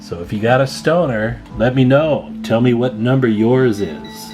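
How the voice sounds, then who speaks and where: A man's voice: mid-range voice, animated, unhurried. A man giving a casual, hands-on demonstration as a hobbyist video host, speaking informally and folksily to his viewers. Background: plucked guitar music.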